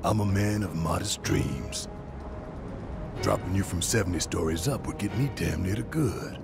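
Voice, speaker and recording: An actor playing a gruff, hot-tempered, righteous rebel fighter in a video game, with a gravelly voice and emphatic, colloquial, menacing delivery. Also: male voice, sits low, normal speed, over music. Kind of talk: dramatic video game cutscene acting.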